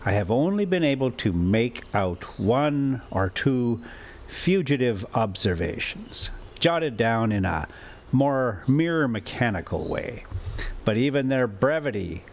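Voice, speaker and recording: A man reading a classic adventure story aloud as a storyteller, in deliberate, halting phrases with an informal touch. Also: mid-range voice, very animated, slight background noise. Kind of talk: audiobook-style reading with casual asides.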